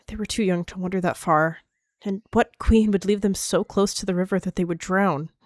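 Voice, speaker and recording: A woman's voice: medium pitch, fairly even intonation, moderate pace, darkish tone, no room sound, clean studio sound, natural voice. A woman voicing a guilt-ridden female medicine cat, anguished and insistent, in a dramatic animal roleplay. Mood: troubled, insistent.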